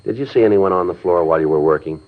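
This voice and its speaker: Terse police detective, extremely low voice, brisk delivery, deadpan, courteous, vintage radio drama. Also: male voice. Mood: flat, courteous. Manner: deadpan, clipped, matter-of-fact. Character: terse, polite, businesslike police detective narrating his case. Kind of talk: old-time radio drama acting and narration. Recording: muffled vintage radio recording.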